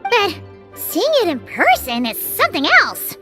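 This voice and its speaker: A tiny, excitable fairy girl from a game cutscene chatters away in a very high, squeaky voice. Her pitch leaps all over the place as she exclaims at everything. She talks about herself by name instead of saying "I.". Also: unhurried, over music, full character voice. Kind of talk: video game cutscene dialogue.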